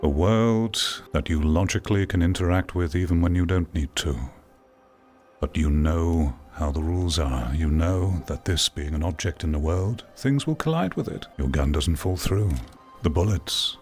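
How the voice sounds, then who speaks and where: Male game critic, low voice, dramatic pauses, reflective video-essay delivery over music. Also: lively intonation, darkish tone. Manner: measured, theatrical, rhetorical delivery with pauses. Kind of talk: reflective scripted-sounding video essay commentary.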